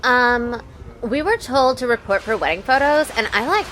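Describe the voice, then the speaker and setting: A woman's voice: fairly high pitch, lively intonation, moderate pace, fairly bright tone, rough recording. An actress playing a snooty, bored, vapid young valley-girl type in a comedic audio drama. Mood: bored, disdainful. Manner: vapid, haughty, hesitant "like" filler. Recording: clear background noise and ambience.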